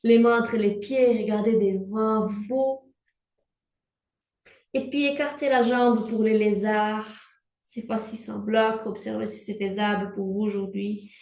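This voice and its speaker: Slow calm yoga teacher, roomy distant sound. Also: female voice, mid-range voice. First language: Portuguese. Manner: slow, calm cueing with breath prompts. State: pregnant. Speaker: yoga instructor. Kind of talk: guided prenatal yoga class. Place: room at home, instructing from a mat. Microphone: laptop mic at a distance. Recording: roomy, from far off the mic.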